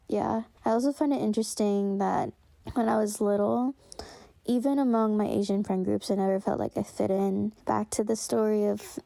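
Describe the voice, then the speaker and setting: Wistful young woman with vocal fry. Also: medium pitch, mellow, dry recording. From United States. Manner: reflective and wistful, many fillers and restarts. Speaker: storyteller sharing her family history. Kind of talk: unscripted personal reflection.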